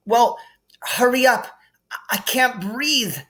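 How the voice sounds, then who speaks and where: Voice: medium pitch, takes it slowly, bright, forceful tone, voice pushed up. A man voicing a brave, practical young boy wizard in an urgent, earnest manner for a storybook. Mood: strained, choking.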